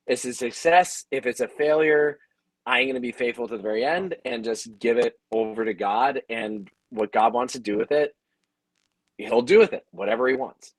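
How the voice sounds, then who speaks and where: A man's voice: mid-range voice, normal speed, dry recording, rough recording. A man telling a personal story in a panel conversation, casual and emphatic, often dropping in "like" as he goes. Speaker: radio host and audio drama creator.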